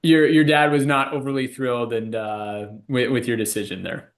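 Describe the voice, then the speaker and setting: A man interviewing a guest conversationally, with measured, discursive lead-ins that sum up and then prompt. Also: mid-range voice, steady tone. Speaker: podcast interviewer.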